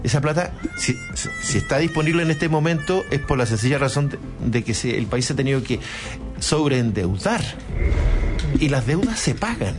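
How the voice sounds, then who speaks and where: Older Chilean male panelist, deliberate and emphatic, music underneath. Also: medium pitch, expressive. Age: 60s. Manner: emphatic, deliberate, stretches words. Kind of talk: radio panel discussion.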